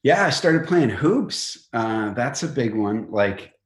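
A middle-aged man talking casually as an interview guest, informal and halting, often rephrasing himself as he goes. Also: mid-range voice, lively intonation, reverberant. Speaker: company chief executive, podcast guest.

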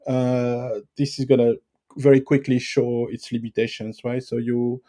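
A man with a French accent answering interview questions, pausing often with fillers and restarts. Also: mid-range voice, little pitch movement, dark tone. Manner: hesitant, many fillers and restarts. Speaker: guest expert.

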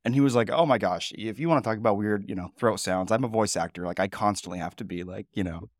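Low-voiced man co-hosting a podcast. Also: fast, no room sound, clean studio sound. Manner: relaxed, rambling, chatty with fillers. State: voice low and groggy in the morning. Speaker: podcast co-host. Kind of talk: casual chat.

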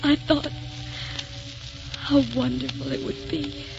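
An actress playing a frail, dying young wife, devoted and dreamy, with tender, wistful 1940s radio drama delivery. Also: female voice, high-pitched, little pitch movement, slow pace, gravelly, heavily acted. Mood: adoring. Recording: muffled old 1940s radio recording, music behind.

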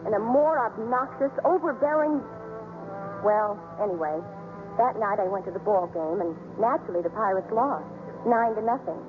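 A woman's voice: mid-range voice, lively intonation, moderate pace, natural voice. An American actress playing a wry woman who narrates the story in a 1950s radio drama. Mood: wry narration. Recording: tinny old radio broadcast with music.

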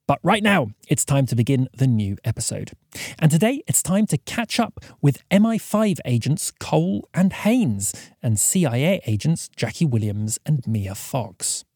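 Animated male podcast host, dry close sound. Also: medium pitch, a little slow, husky, no room sound. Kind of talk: scripted narration, announcing.

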